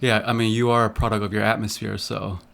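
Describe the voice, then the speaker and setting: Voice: mid-range voice, little pitch movement, fast talker, gravelly, a bit bright. An interviewer asking curious questions in a casual chat and reacting to the answers.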